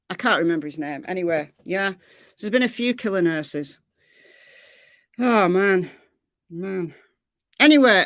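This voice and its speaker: A middle-aged woman hosting a true crime livestream, chatting casually with viewers in a rambling way, her voice slightly hoarse. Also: medium pitch, a bit bright, no echo, clean studio sound. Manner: rambling, chatty, trails off into fillers.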